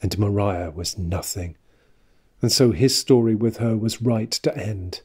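Husky male storyteller, measured and somber. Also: fairly low pitch, darkish tone. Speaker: narrator of an audio drama. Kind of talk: scripted dramatic storytelling narration.

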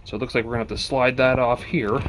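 A man giving a casual how-to narration of his DIY work, plain-spoken and relaxed, with plenty of "uh" fillers. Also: mid-range voice, very fast, background noise. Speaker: DIY mechanic vlogger.

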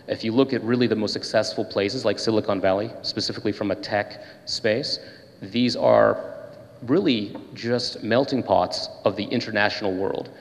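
A man's voice: on the low side, fairly even intonation, moderate pace. A man in his thirties or forties speaking off the cuff as a panelist into a handheld microphone. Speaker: panelist, tech executive. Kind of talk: unscripted panel remarks. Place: panel table in brick-walled indoor hall. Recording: echoey hall.

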